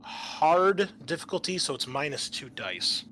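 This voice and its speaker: A man improvising narration as game master of a tabletop game, in a halting, conversational way. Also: fairly high pitch, very animated, bright, forceful tone, a little hiss or hum.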